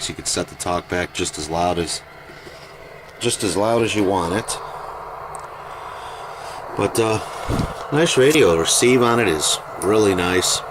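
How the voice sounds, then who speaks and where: A man giving a casual, plain-spoken product demonstration as a private seller, in an unhurried, informal way. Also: sits low. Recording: noisy home video, steady background noise.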